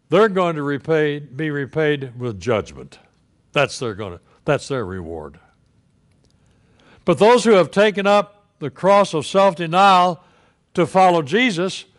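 An elderly man preaching a sermon from a church pulpit, reading scripture and then teaching in a slow, deliberate way with emphatic pauses. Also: a little high. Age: older. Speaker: pastor.

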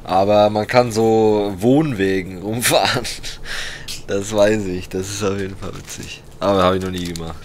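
A man's voice: fairly low pitch, steady tone, moderate pace. A young man under the weather chatting casually and teasingly while streaming a game, laughing often. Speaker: let's play host. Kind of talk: casual gaming chat. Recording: noisy game audio behind.

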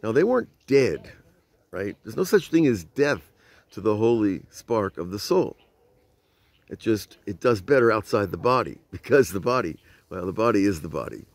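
An older man with a lowish voice gives an informal Torah lesson, filmed on a phone at arm's length outdoors at night. He tells the story conversationally and puts rhetorical questions to his listeners. The sound is dry and close, with little room echo. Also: lively intonation, normal speed. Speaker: rabbi teaching. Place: outdoors at night by old stone walls. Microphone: phone camera at arm's length.

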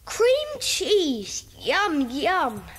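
Blunt British schoolboy, high voice, slowish delivery, children's audio drama. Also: female voice, big pitch swings, fairly bright tone, exaggerated voice. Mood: grumbling.

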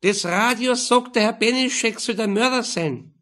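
A man's voice: extremely high pitch, moderate pace, a bit bright, pitched above the performer's own voice. A man voicing a confused, insistent woman of nearly eighty in an audiobook. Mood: earnest, insistent.

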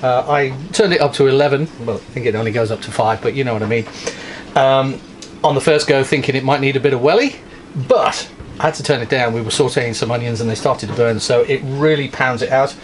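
A man in his fifties vlogging from a sailboat cabin, chatty and wry, with filler pauses. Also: medium pitch, a little hiss or hum. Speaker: sailing vlogger. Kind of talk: casual vlog narration.

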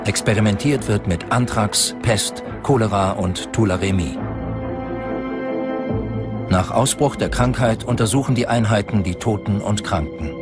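A man reading scripted narration for a documentary. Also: lowish voice.

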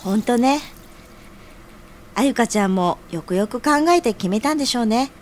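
A woman's voice: fairly high pitch, expressive, normal speed, darkish tone, a little hiss or hum, close to an everyday voice. An actress playing a sociable, inquisitive woman who teases and fusses over her friends in an audio drama. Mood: teasing. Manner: feminine, chatty, nudging. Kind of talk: scripted audio drama acting.

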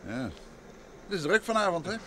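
A man acting a calm, inquisitive gentleman detective in an old Dutch radio drama. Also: high-pitched, moderate pace. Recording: noisy vintage radio drama recording.

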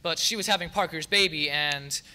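A young man playing a lovable drifter in a radio soap on stage, halting as he improvises. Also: fairly high pitch, bright, forceful tone. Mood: flustered improvising. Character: gruff but lovable drifter, improvised by flustered radio actor. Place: theater stage.

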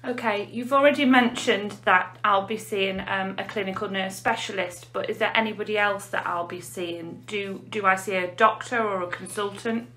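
A woman's voice: mid-range voice, steady tone, normal speed, few pauses, a bit bright. An English woman, a nurse specialist, interviewing a colleague with plain scripted questions.

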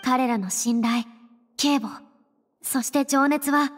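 A woman's voice: high-pitched, steady tone, slow, in a large hall, soft background music. A woman voicing a proud, theatrical young woman with self-assured phrasing in an anime game. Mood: solemn. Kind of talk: Japanese anime game voice acting.

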